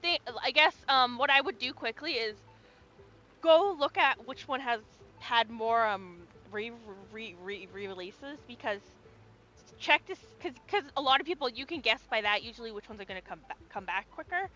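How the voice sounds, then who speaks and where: A woman's voice: on the high side, normal speed, pushed and bright, dull audio. A young adult woman streaming a game, chatting casually and rambling, swearing freely as she goes. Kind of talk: casual gaming chat.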